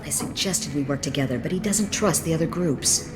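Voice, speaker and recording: A woman's voice: deep voice, brisk, background noise, lightly acted. A woman voicing a jaded, hard-edged mercenary lieutenant in a video game, frank and businesslike with a bitter edge.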